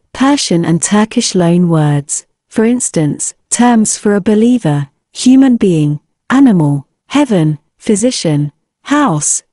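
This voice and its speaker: A woman narrating a scripted history lecture in an even, read-aloud manner. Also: medium pitch, dry recording, studio quality.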